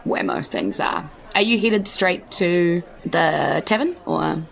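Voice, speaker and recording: Female game master, New Zealand accent, lower register, gravelly edge, loose conversational narration. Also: sing-song, slow. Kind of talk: casual improvised tabletop game narration.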